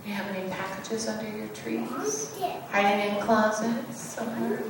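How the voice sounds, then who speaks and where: A woman reading a storybook expressively to children, addressing them directly with questions in an animated way. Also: medium pitch, moderate pace. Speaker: children's story reader at a church. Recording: echoey room, distant mic, background noise.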